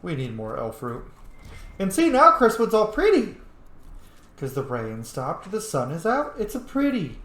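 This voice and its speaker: A man's voice: high-pitched, wildly swooping pitch, a little slow, a bit bright, a little hiss or hum. A man giving casual let's-play commentary on a video game, informal, exclamatory and given to swearing. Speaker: video game streamer, host. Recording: roomy, echoing room sound.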